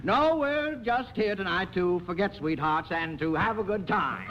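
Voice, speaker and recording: A muffled old radio recording with light noise carries a high-voiced American showbiz promoter. He is wheedling and ingratiating, stammers when flustered, and swings widely in pitch in 1940s comedy style. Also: expressive, moderate pace, a bit bright. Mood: showy, flustered. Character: wheedling, fast-talking showbiz promoter, a man.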